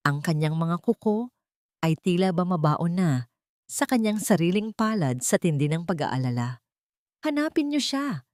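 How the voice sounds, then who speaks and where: A woman narrating a scripted dramatic story in a measured storytelling manner. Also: lowish voice, lively intonation, a little mellow, dry recording, clean studio sound.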